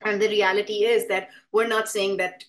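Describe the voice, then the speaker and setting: A woman's voice: medium pitch, level tone. Thoughtful woman presenter. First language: Hindi. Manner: thoughtful, explanatory, often pausing to rephrase. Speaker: presenter with a background in urban design. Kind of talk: conversational presentation to an online or live audience.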